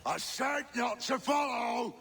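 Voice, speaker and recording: An actor playing a ferocious, half-mad pirate captain in a video game, snarling and mocking with a gravelly, theatrical shout. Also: male voice, very high-pitched, animated, takes it slowly, very noisy, exaggerated voice. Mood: crazed rage. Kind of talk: video game cutscene acting.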